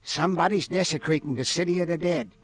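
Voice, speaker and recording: A man's voice: on the high side, quick pace, natural voice. Man playing stubborn rustic graveyard caretaker. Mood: insistent. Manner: dogged, folksy rural dialect. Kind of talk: old-time radio horror acting.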